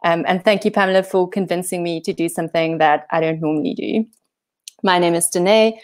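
A woman's voice: fairly low pitch, steady tone, a little fast, a little mellow. A woman in her thirties from South Africa, with a South African accent, giving a casual, self-deprecating talk over a video call. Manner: self-deprecating, chatty, many fillers. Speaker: guest speaker. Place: home room on holiday.

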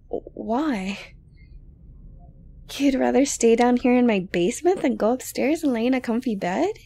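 A woman playing an obsessive, dominant yandere girlfriend in an ASMR roleplay, creepily tender and condescendingly sweet, breathy and often close to a whisper. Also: fairly high pitch, dark tone, exaggerated voice. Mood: bewildered, hurt. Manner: slow, coaxing, condescendingly sweet. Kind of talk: ASMR roleplay monologue to the listener.

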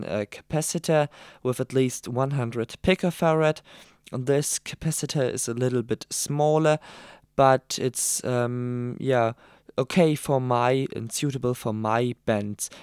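Slow, halting man explaining a build. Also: mid-range voice, a little mellow, clear, resonant tone, dry recording, studio quality. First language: German. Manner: halting, many ums, slightly non-native phrasing. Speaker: radio hobbyist demonstrating a build. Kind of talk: hands-on tutorial voice-over.

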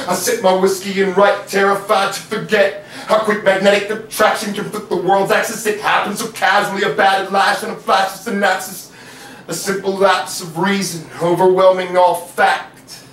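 Young guy doing spoken word at a house show, rhythmic rapping then soft rambling, bright high voice, echoey room with faint hiss. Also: distant mic. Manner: rhythmic rapped verses between soft, rambling chat. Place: living room of a house, small audience on couches. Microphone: far camera, no mic.

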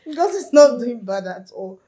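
A woman's voice: a little high, big pitch swings, moderate pace, mellow. An actress playing a grateful, bereaved young woman in a domestic drama.

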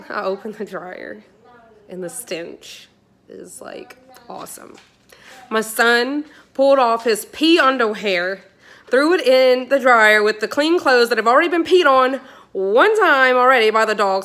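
Chatty mom venting to camera. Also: female voice, mid-range voice, fairly bright tone. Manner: exasperated, chatty, addresses viewers directly. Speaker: vlogger, mother. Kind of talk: casual storytelling to camera. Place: home kitchen, indoors. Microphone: phone at arm's length.

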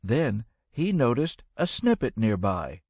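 A man narrating a rhyming children's story in an expressive, measured storytelling manner. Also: medium pitch, slightly rough, no echo, clean studio sound.

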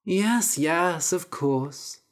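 A woman voicing a strange, sly old female witch who speaks slowly and coaxingly, drawing out her words. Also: lowish voice, animated.

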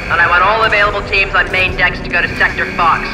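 Echoing intercom orders, tough captain. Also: female voice, on the low side, fairly even intonation, quick pace. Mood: commanding. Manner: commanding. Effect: ship intercom. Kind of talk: video game acting. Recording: noisy echoing intercom, distant.

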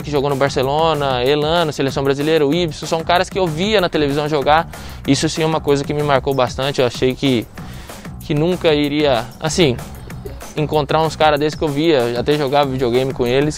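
A man in his thirties from Venezuela, a former footballer being interviewed outdoors on a sand court, talking in a relaxed, chatty way with frequent restarts. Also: a little high. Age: 30s. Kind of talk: casual interview.

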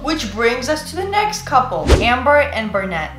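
A woman's voice: high-pitched, very animated, normal speed, background noise. Young woman chatting in an echoey room. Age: young adult. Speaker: YouTube co-host. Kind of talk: casual review chat with a friend. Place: small furnished room indoors. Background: music.

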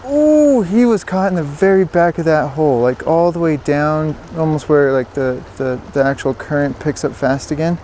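A man giving excited, casual fishing commentary, repeating words in bursts, speaking outdoors into a body-worn action camera. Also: on the high side, normal speed, hardly any pauses. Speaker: fishing vlogger. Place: outdoors beside a stream. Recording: outdoor water noise behind voice.

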